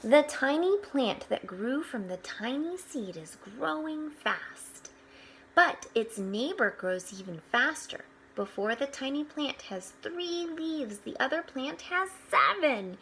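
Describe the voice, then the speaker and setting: A woman reads a children's picture book aloud at an unhurried pace. Her voice sits a little high and she tells it expressively, adding small explanatory asides. There is faint noise behind her. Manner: expressive, with hushed asides pointing things out.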